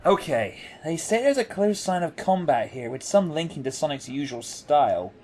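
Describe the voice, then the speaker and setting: A man's voice: on the high side, sing-song, moderate pace, background noise. A man playing a chatty, wry investigator in a fan audio drama. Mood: explaining. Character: chatty, quippy male investigator.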